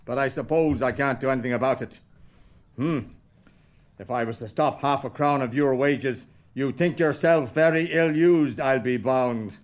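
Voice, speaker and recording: An actor with an Irish accent playing a grumpy, miserly old moneylender in a radio play, gruff and dismissive. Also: male voice, medium pitch, moderate pace, lightly acted. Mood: grudging.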